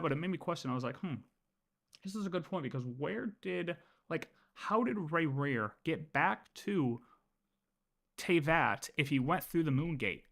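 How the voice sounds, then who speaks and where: A man's voice: a little high, fairly bright tone. A man livestreaming casual game lore commentary, thinking aloud and often repeating his words as he works through ideas.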